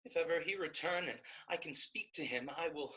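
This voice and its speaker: A woman's voice: low-pitched, brisk, fairly bright tone, rough recording. A woman playing a fierce, virtuous young novice sister in a staged Shakespeare reading, emphatic and declamatory. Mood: earnest, resolute.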